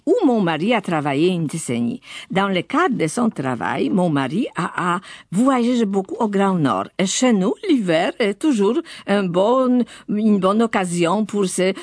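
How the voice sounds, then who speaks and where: A woman's voice: lowish voice, lively intonation, no echo, clean studio sound. Older woman, careful tinged French. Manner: measured, careful, occasional hesitations. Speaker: radio studio guest. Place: studio.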